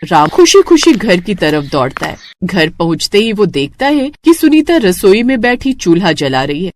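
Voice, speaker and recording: A woman narrates a children's tale with dramatic expression. Her voice sits at a medium pitch and rises and falls widely through each sentence. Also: sing-song, normal speed, a little hiss or hum. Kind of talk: scripted children's story narration in Hindi.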